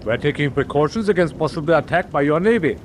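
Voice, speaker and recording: Guy playing stiff guarded german navy officer, radio play, voice a bit high, faint noise. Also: expressive, fast talker, natural voice. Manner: formal, clipped, evasive. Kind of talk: radio drama acting.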